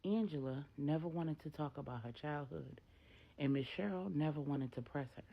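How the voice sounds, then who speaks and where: A woman's voice: low voice, moderate pace, creaky, husky, highs cut off. A woman storyteller narrating a dramatic tale in the present tense.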